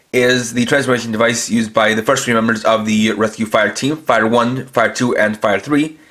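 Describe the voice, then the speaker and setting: Man calmly explaining a toy, step by step. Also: mid-range voice. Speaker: toy reviewer. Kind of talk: toy review demonstration.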